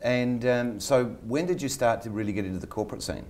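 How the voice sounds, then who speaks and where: A man's voice: mid-range voice, a little fast, darkish tone. An older man hosting an interview show, telling a chatty personal story before he introduces his guest. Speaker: interview host.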